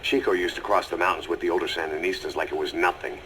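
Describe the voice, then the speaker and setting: An actor playing a gruff, stoic mercenary commander in a video game, speaking tersely and matter-of-factly. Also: male voice, deep voice, steady tone, fast, fairly bright tone, slight background noise, rough recording. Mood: urgent. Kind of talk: video game voice acting, audio drama dialogue.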